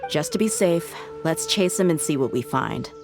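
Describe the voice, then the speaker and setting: A shrewd female mercenary in a video game. Her voice is notably low, and she sounds cool and sure of herself. Music runs clearly behind her. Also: animated, normal speed. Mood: confident, focused. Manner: cool, assured. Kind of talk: scripted video game voice acting.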